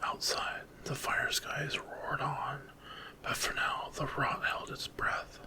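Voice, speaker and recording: A man's voice: slow pace, sharp tone. A man narrating a dramatic, intense fantasy horror story entirely in ASMR whispers.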